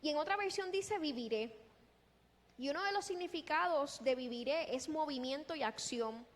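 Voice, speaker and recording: A woman preaching a sermon in a deliberate, emphatic way, posing rhetorical questions. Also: high voice. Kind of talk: sermon with scripture exposition. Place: large echoing church hall. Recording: long reverberant hall sound.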